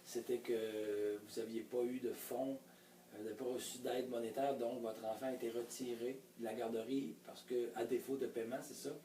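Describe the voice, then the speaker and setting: A mellow-voiced man, recorded at a distance in an echoey room with faint noise. He interviews informally and hesitantly, often rewording things as he goes. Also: mid-range voice, flat delivery, normal speed.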